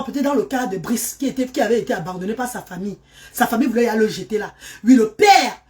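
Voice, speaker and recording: Middle-aged mother, high voice, fervent and pleading, repeats herself for emphasis. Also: a little mellow. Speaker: mother and charity worker. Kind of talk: emotional personal testimony.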